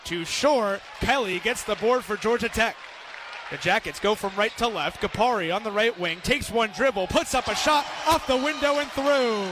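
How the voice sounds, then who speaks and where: A man's voice: high-pitched, moderate pace. An American man doing live radio play-by-play of a basketball game, projecting like an announcer. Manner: projecting over crowd, announcing. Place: courtside in an indoor basketball arena. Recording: loud arena crowd noise, bouncing balls. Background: crowd, ball bounces.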